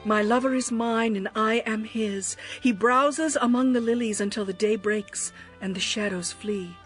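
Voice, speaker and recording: An actress playing a young, lovestruck bride, yearning and adoring, in a tender, poetic dramatized scripture reading. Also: female voice, fairly high pitch, unhurried, faint music behind, natural voice. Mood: longing. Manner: expressive, poetic, tender delivery.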